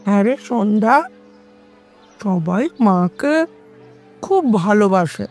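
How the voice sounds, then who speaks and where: A woman playing an affectionate old grandmother and household matriarch in a Bengali audio story, with tender, coaxing delivery. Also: a little high.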